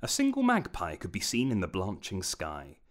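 Low-pitched man narrating fantasy, expressive range. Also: normal speed. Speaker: audiobook narrator. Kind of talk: scripted fantasy story narration.

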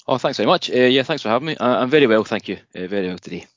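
A man's voice: mid-range voice, animated, racing, no room sound, dull audio. A young man with a Scottish accent, a guest on a podcast, chatting casually with many restarts and fillers. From Scotland.

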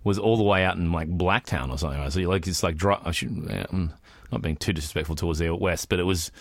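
A man's voice: deep voice, fast, dry recording. A man with an Australian accent hosting a podcast in casual banter, sarcastic and blunt. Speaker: podcast host.

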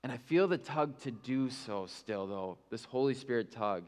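A man's voice: medium pitch, lively intonation, moderate pace, long reverb tail. A male pastor preaching a sermon in a candid, conversational way, with self-mocking asides.

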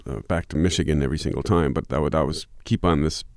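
A man's voice: a bass voice, quick pace, a little mellow, dry recording, studio quality. A man musician talking through long, rambling interview answers, with many restarts and fillers. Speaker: musician guest.

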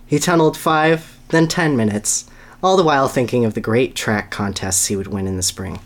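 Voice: mid-range voice, normal speed. A podcast co-host giving an amused dramatic reading of a children's story, breaking into asides.

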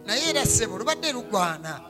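A man's voice: high-pitched, sing-song, fast, over music. A man interpreting a sermon phrase by phrase, echoing each line right after the preacher. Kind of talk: church interpretation.

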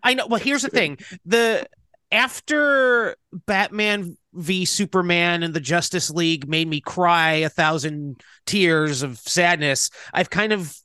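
Stuffy-nosed man, chatty and upbeat, bright smooth voice. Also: high voice, takes it slowly, no room sound. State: congested from a sinus infection. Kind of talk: casual chat.

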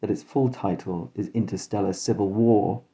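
A sneering gothic mastermind, put on by a man, half doctor and half beast. His delivery is growling, grandiose and forbidding, with a dark timbre, in a horror spoof. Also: fairly low pitch, normal speed, close to an everyday voice, rough recording. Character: evil hirsute doctor, a sneering werewolf-in-waiting mastermind.

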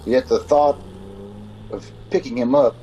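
A man's voice: mid-range voice, steady tone, mellow, a little hiss or hum, natural voice, low-quality recording. A man playing a haunted, uneasy motorist who tells his story in an old-time radio drama. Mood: haunted, dreading. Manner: measured narrating, nervous stammering in dialogue. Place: home, recorded over a video call.

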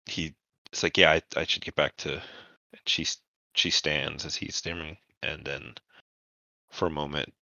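Very deep-voiced man narrating a game. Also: flat delivery, gravelly, pushed and bright, no room sound. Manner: halting, informal storytelling. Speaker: game master. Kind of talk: narrating a tabletop roleplaying session.

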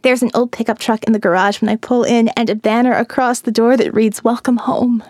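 Female narrator, eerie and dreamy, hushed dips, dry booth sound. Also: fairly even intonation, a little fast, no echo. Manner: dreamy, eerie, drops to hushed low tones. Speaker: audiobook narrator. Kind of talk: scripted first-person narration.

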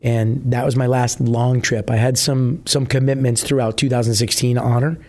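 A middle-aged man from New Jersey, a musician guest with a husky voice, chatting casually and rambling with many fillers. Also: mid-range voice, little pitch movement, moderate pace, a little mellow. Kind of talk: casual interview chat.

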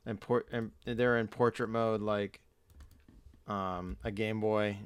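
A man in casual chat, rambling with lots of fillers and swearing, hoarse as he loses his voice. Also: lowish voice, fairly even intonation, slow. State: losing his voice. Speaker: podcast co-host.

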